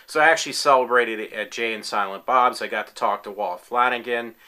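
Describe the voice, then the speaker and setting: A man's voice: medium pitch, a little fast, pushed and bright. Rambling enthusiastic man in his forties. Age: 40s. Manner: rambling, many fillers and restarts; enthusiastic fan. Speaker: applicant in a tryout video. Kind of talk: casual self-recorded talk. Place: his room at home.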